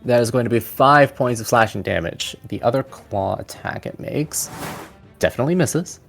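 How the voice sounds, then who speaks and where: A man's voice: mid-range voice, lively intonation, normal speed, gravelly. A man narrating a tabletop fantasy game as its game master. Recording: music playing behind. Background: background music.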